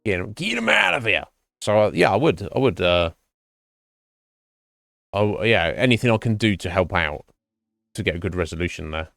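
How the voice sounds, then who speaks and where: A man streaming live and chatting with viewers, thinking his answers through in a halting, thoughtful way. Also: lowish voice, very animated, vocal fry, fairly bright tone, no room sound, studio quality. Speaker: Twitch streamer.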